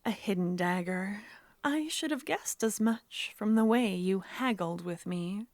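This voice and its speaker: A woman voicing a clingy, menacing yandere witch in an ASMR roleplay, speaking in a soft, coaxing whisper. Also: medium pitch, unhurried, slight background noise, natural voice. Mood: indignant, frantic.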